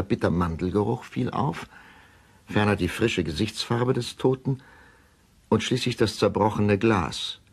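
An actor playing a troubled middle-aged male doctor, narrating a crime radio play in a grave, measured, deliberate way. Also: low voice, creaky, husky, heavily acted. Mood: grave, reflective. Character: troubled male doctor recounting a suspicious death. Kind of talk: first-person radio drama narration.

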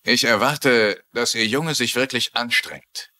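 Curt, menacing promoter, forceful voice. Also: male voice, mid-range voice, unhurried, no room sound. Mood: cold, threatening. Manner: curt, condescending. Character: cold, menacing, businesslike male fight promoter. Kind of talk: German-dubbed TV crime drama.

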